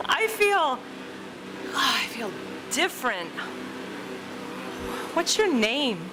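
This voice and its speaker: An actress playing a woman who is charmed as she turns into a bee, speaking in slow, breathless wonder. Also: sits high, sing-song, noisy background, heavily acted.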